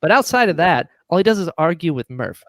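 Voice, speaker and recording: A man with a fairly high voice talks in a casual, enthusiastic way. He is a producer being interviewed, and he slips into quoted lines as he tells stories. The sound is dry and close, free of room echo. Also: big pitch swings, fairly quick. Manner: enthusiastic, acts out quotes mid-story. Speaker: audio drama producer, podcast guest. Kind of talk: casual animated interview chat.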